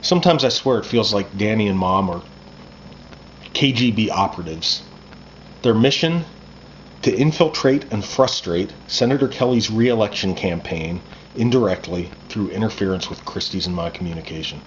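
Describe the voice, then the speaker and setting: A man, an author reading his own first-person coming-of-age fiction aloud in a conversational, expressive way. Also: on the low side, moderate pace. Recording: muffled lo-fi with background noise.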